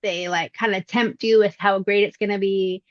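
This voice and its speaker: A middle-aged woman whose voice still sounds youthful answers interview questions in a relaxed, reflective way, often pausing on fillers. The recording is dry and close, with a slightly dull, lo-fi tone. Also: mid-range voice, no room sound. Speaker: voice actress, interview guest. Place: indoors at her mother's home.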